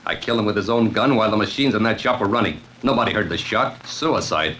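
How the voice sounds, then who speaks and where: An American actor playing a cold, cocky, greedy hired killer in a 1940s radio crime drama. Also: male voice, on the low side, fast talker, fairly bright tone, natural voice, low-quality recording. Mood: smug. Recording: old radio recording with faint noise.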